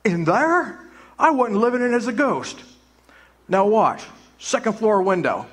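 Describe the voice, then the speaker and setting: A man's voice: sits high, big pitch swings, measured pace, heavily acted. An actor playing an eager, talkative young man full of big plans in a live radio play. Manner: eager, rambling, boyish.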